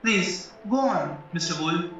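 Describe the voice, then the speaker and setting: A man acting in an audio play as a stern, skeptical defence lawyer, questioning in a formal, measured way. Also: high-pitched, animated, a bit bright, full character voice. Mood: probing. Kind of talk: stage-style audio drama acting. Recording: very echoey, muffled, distant, music underneath.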